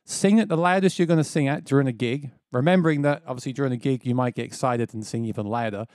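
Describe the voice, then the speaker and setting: A man's voice: quick pace, a little mellow, no echo. A man in his thirties hosting a casual music gear tutorial, explaining step by step with enthusiasm, on a close dynamic mic in a small home studio. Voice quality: describes his own voice as quite low. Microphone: dynamic mic on a boom close to the face.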